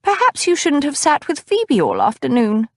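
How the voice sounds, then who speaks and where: A woman reading an audiobook, voicing a fussy, fretting older woman chaperone in a high, fluttery, huffing voice. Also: huge pitch swings, normal speed, dry recording, clean studio sound, exaggerated voice. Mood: fretful. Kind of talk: audiobook character voice.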